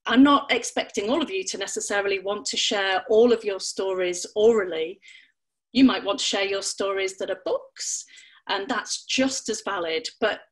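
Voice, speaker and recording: A middle-aged woman leading an online parenting webinar on storytelling from a small room at home, speaking through a laptop webcam with an expressive, playful delivery that leaps up in pitch for emphasis. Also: moderate pace, poor audio. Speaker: storytelling workshop leader. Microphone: laptop webcam, video call.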